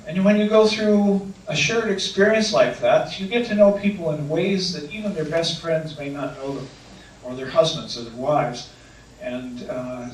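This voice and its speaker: A man's voice: a little high, far from the mic. Earnest man speaking in an echoey hall. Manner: earnest, hesitant, restates questions. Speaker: canoe trip leader answering audience questions. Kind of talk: talk with audience Q&A. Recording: echoey room, speaker away from mic, faint noise.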